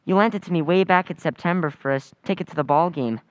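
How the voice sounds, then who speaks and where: A woman's voice: lowish voice, fast talker, no room sound, muffled recording, natural voice. A woman playing an eager, chatty teenage schoolboy in an old-time radio comedy, with gushing politeness. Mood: cheery, eager. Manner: earnest, gushing, boyish.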